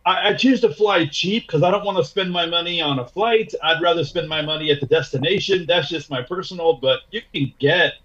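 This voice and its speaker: A man's voice: on the high side, a little fast, hardly any pauses, fairly bright tone. A man chatting casually as a podcast guest over a video call, with laid-back, slangy phrasing about his own experiences. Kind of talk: casual travel chat. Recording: dull lo-fi video call audio.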